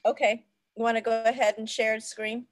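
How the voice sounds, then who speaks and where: A woman's voice: a little high, little pitch movement, normal speed, no echo. Woman, event host, casual moderating, video call mic, dry close sound. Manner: chatty, with frequent mm-hmms and little laughs.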